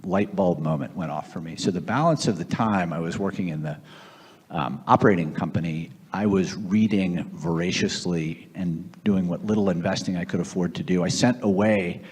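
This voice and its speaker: A man in his fifties from Boston with an American accent, telling his own story as an interview guest in a measured, reflective way. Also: low-pitched, a little mellow, slightly rough. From Boston area, United States. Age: 50s. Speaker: guest, investor. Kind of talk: conversational personal storytelling on stage. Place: large event room at a gathering. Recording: echoey hall with long reverb.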